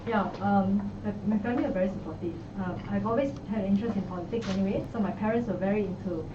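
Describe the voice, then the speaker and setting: A woman's voice: medium pitch, fast talker. Brief: young Singaporean woman, easygoing, echoey room heard from afar. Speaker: election candidate. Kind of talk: press conference answers. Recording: echoey room, far mic, dull noisy sound.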